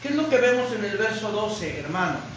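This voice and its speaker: A man's voice: sits high, sing-song, brisk, a bit bright. A man preaching a sermon as a pastor, emphatic and repetitive, punctuating his points with amens and rhetorical questions. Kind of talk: sermon, expository preaching with Bible readings. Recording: very echoey room, distant mic, background noise.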